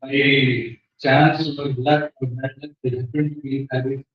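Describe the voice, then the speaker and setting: Echoey, distant Indian man speaking haltingly. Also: mid-range voice, little pitch movement. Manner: halting, rambling delivery with broken-off sentences. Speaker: guest speaker at a book release function. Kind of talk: informal, reminiscing public speech. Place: indoor function hall with audience. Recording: very echoey hall, distant muffled microphone.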